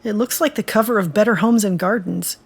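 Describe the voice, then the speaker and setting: An actress playing a discontented, sardonic housewife and musician in an audio drama, with a wry delivery. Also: female voice, mid-range voice, brisk, lightly acted. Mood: wry, weary.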